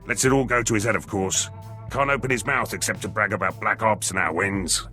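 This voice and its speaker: An actor playing a gruff, dry military veteran in a video game, speaking in terse, clipped phrases. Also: male voice, on the low side, fairly quick, bright, forceful tone, faint music behind. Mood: wry, matter-of-fact. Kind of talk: video game dialogue acting.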